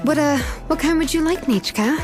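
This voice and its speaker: A young woman voicing a friendly, helpful craftswoman in a game cutscene, relaxed and reassuring. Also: sits high, moderate pace, natural voice. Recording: game audio with background music.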